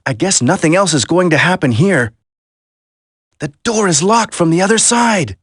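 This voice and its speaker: Game hero: determined young agent, dramatic, lively intonation, unhurried delivery, close dry sound. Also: male voice, high-pitched, breathy edge, no room sound. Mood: focused, worried. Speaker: voice actor in a video game. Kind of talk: video game dialogue acting.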